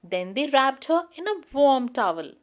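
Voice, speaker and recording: Female children's storyteller: high voice, slow deliberate read-aloud, expressive. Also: lo-fi sound.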